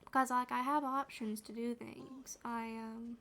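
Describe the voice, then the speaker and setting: Quiet, soothing woman streamer, flat delivery. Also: unhurried. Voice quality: naturally low, quiet and soothing. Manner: rambling, with fillers and restarts. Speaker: gaming streamer. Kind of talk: soft-spoken gaming chat.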